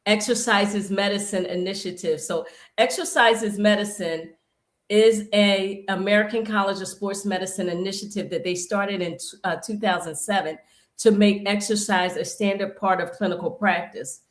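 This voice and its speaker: Brief: woman guest, fitness pro, rambling with many restarts, middle pitch, echoey room. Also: fairly even intonation, normal speed. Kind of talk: live-stream conversation.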